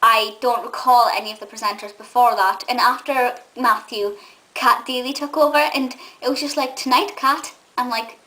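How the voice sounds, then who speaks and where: A young woman from England chatting casually to camera, enthusiastic and rambling, with a slightly gruff voice. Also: fairly high pitch, steady tone, moderate pace, pushed and bright, rough recording. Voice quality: says she has a gruff voice. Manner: enthusiastic and nostalgic, rambling with many ums. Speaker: vlogger and film reviewer.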